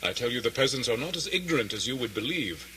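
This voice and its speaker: An actor playing a wary, defiant prisoner in a radio drama, bitter and formal in an archaic way. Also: male voice, mid-range voice, very animated, fast talker, bright, forceful tone, a little hiss or hum, rough recording. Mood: indignant. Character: defiant, wary male prisoner, sardonic commoner. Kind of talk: theatrical radio drama acting.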